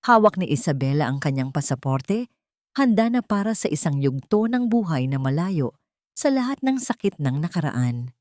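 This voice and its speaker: A woman narrating a dramatic audio story in a measured, steady way. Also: sits low, no room sound, clean studio sound.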